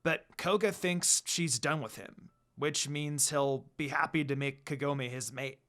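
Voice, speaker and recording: A man's voice: on the high side, measured pace, a bit bright, pitched below the performer's own voice. A man voicing an earnest half-demon younger brother in a subdued, sincere manner. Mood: reassuring.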